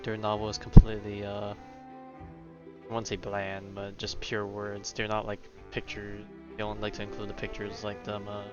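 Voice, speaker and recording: Low-voiced man streaming a game, rambling casually. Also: muffled recording. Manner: hesitant, rambling, thinking aloud. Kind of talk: casual livestream gaming commentary. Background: game music.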